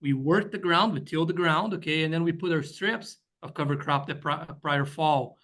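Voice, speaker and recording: A man's voice: fairly high pitch, normal speed, fairly bright tone. A man, a guest weed scientist, giving a slide presentation in a webinar and explaining step by step with frequent "you know" and "right?" checks.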